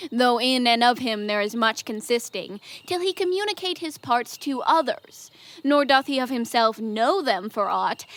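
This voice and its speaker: A young woman plays a shrewd Greek commander in a Shakespearean audio drama. The voice is fairly high, and the verse is delivered in a deliberate, persuasive, rhetorical way. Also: a bit bright. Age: young adult. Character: Ulysses, shrewd, wily Greek commander, a man.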